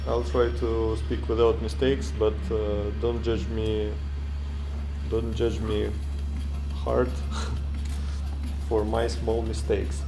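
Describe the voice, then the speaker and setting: A man in his thirties with a Russian accent, talking through his workshop in halting English full of fillers and restarts, recorded on an action camera indoors. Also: on the low side, level tone, music in the background. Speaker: maker vlogger. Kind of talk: casual workshop vlog. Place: home workshop room, indoors. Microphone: action camera held or mounted close.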